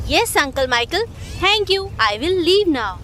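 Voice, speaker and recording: Woman as young cartoon boy, polite, high-pitched, lively intonation, music behind. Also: normal speed, heavily acted. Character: polite young village boy running an errand. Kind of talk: animated children's story acting.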